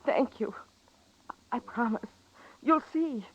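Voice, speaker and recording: A woman's voice: fairly high pitch, takes it slowly, breathy edge. An actress playing a scheming, volatile saloon gambling woman in a 1950s western radio drama, with a melodramatic delivery. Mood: tearful pleading. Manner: melodramatic, pleading turning to defiant. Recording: dull vintage radio recording.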